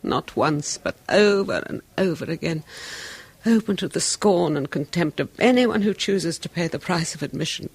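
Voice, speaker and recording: An actress playing an anguished wife in a 1970s radio drama, speaking in a pleading, emotional way. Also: female voice, lowish voice, expressive, normal speed, darkish tone, husky, no echo. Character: anguished wife leaving her husband.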